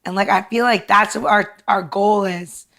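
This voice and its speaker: A woman's voice: medium pitch, fairly even intonation, normal speed, fairly bright tone. A middle-aged woman hosting a podcast in an informal chat, repeating phrases for emphasis. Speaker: podcast host. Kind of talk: casual chat.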